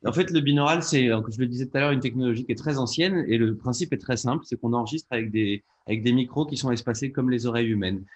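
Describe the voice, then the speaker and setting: A man's voice: medium pitch, quick pace, hardly any pauses, dull audio. A man, a producer on a panel, explaining things fluently and didactically. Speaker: audio fiction producer, panel guest.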